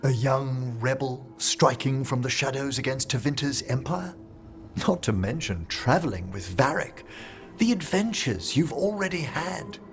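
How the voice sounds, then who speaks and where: An actor playing a courteous, eloquent and eccentric necromancer scholar in a fantasy game. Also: male voice, medium pitch, animated, a little slow, faint music behind, close to an everyday voice. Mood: courteous, admiring. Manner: refined, effusive, eloquent. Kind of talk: game companion dialogue acting.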